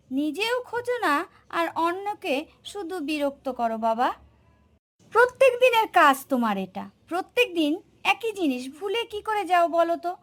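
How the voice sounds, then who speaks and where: A woman's voice: very high-pitched, fairly bright tone, full character voice. A woman voicing a talkative, easily exasperated young son in a family cartoon, speaking insistently. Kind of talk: cartoon voice acting.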